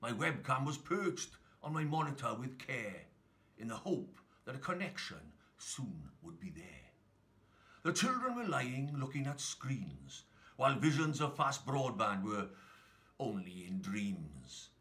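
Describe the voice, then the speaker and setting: A man reading a rhyming children's story in a theatrical, expressive storytelling manner. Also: medium pitch, a little slow. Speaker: story reader.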